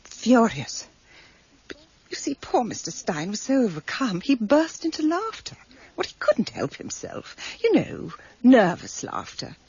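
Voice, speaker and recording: A woman's voice: fairly high pitch, wildly swooping pitch, a little slow, a little mellow, breathy edge, no room sound, muffled recording, heavily acted. An actress in a radio drama playing a chatty, genteel, gossipy woman who owns a bookshop. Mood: confiding, amused. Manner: gossipy, fussy, digressive. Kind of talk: 1990s radio drama acting.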